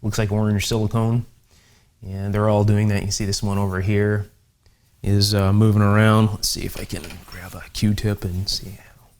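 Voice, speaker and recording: Low-voiced man explaining at a workbench. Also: flat delivery, moderate pace, darkish tone. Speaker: host, electronics repair instructor. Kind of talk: hands-on workbench demonstration, explanatory. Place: indoor electronics workshop.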